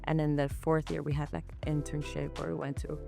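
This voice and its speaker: Relaxed young woman from Norway. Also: low voice, a little fast, creaky, faint music behind. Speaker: co-host and guest, movement artist. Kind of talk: casual podcast chat.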